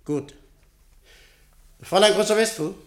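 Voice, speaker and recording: Radio drama casting: a calculating director, fairly high male voice, curt and commanding, used to being obeyed. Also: measured pace. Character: domineering, calculating business director who buys and threatens. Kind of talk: German radio play acting.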